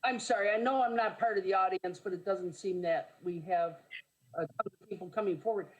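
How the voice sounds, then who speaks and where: A soft-spoken woman, a council member, making brief remarks in a meeting. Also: mid-range voice, fairly quick, lo-fi sound.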